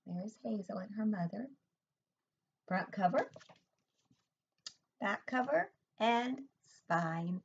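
A woman's voice: medium pitch, expressive, takes it slowly, creaky, long pauses, a little hiss or hum. An older woman, a preschool teacher, giving a sing-song online lesson from home and asking the children questions. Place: home room, indoors. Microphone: webcam laptop mic. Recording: dull lo-fi webcam audio.

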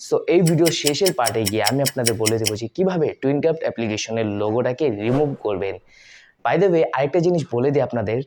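Fast-talking man hosting a tutorial. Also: mid-range voice, darkish tone. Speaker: tutorial video host. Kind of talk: spoken video introduction with English phrases mixed in.

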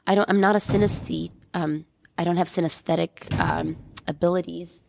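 A woman's voice: lowish voice, fairly even intonation, moderate pace, gravelly, darkish tone, a little hiss or hum. A woman from Bosnia, a painter, talking reflectively in a casual interview and hunting for words with many fillers. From Bosnia and Herzegovina.

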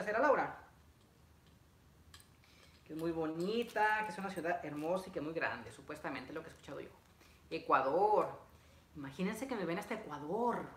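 A man's voice: high-pitched, a bit bright. Young man, casual livestream chat, repeats himself, roomy echo, faint background noise and clicks. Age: young adult. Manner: chatty, reads out greetings, repeats phrases. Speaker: vlogger. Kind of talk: casual livestream chat with viewers while assembling furniture. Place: living room at home. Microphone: camera a few feet away. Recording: echoey room, noisy, clicks.

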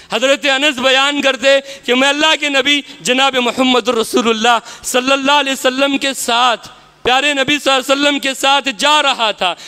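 Indian-accented imam, man in his thirties, very high bright voice, smooth and clear; impassioned Friday sermon, headset mic. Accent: Indian Urdu. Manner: impassioned, swings between soft low asides and loud high exhortations; repeats his refrains to the congregation, gestures while preaching. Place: mosque, indoors, tiled wall behind. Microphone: headset mic and stand mic.

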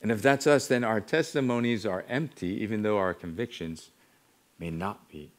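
A middle-aged American man preaching in a measured, earnest manner, building his exhortation on repeated parallel phrases. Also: on the low side. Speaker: pastor preaching. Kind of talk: sermon. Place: church sanctuary, at a wooden pulpit.

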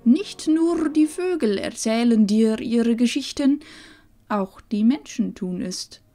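A woman playing a wise old village grandmother in a fantasy audio drama, calm, measured and gently probing. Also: on the high side, soft background music. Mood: knowing, gentle. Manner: measured, knowing.

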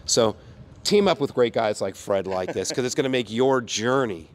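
A man in his sixties from the United States, hoarse from a cold, giving an upbeat folksy sales pitch outdoors. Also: animated, normal speed. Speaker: company owner. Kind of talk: promotional chat to camera. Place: outdoors by a brick wall.

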